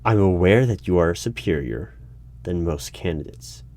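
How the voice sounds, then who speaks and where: A man's voice: low voice, expressive, normal speed, a little mellow. A man playing an exasperated, bureaucratic human resources manager in a one-sided interview roleplay, hesitating and stammering when flustered. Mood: exasperated, officious. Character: exasperated, officious human resources hiring manager at secretive agency. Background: computer keyboard typing.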